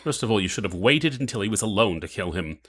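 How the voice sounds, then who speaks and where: An actor playing a cold, mocking villain in an audio drama, speaking in a measured, formal, taunting way. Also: male voice, lowish voice, lively intonation, fairly bright tone, slightly rough. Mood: cold menace. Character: menacing, cold, mocking villain, adult man.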